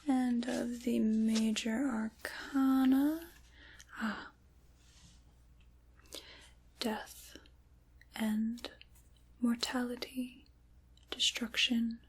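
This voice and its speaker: A woman voicing an ancient, sly dark witch in an ASMR roleplay, in a breathy whisper with deliberate, theatrical, old-fashioned phrasing. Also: on the high side, flat delivery, exaggerated voice. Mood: ominous, incantatory.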